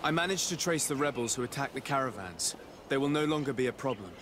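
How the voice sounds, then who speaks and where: A man playing a calm, courteous noble envoy in a video game, speaking in a measured, formal, conciliatory way. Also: mid-range voice, noisy background, natural voice. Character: calm diplomatic male legate, courteous young noble envoy. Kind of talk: scripted video game cutscene dialogue.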